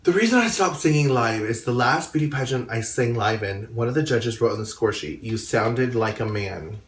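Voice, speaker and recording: A drag pageant performer talking straight to camera about a pageant, in a low baritone, candid and chatty with quick asides. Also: male voice, expressive, roomy sound, far from the mic. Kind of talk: casual talking-head recap. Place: indoor room.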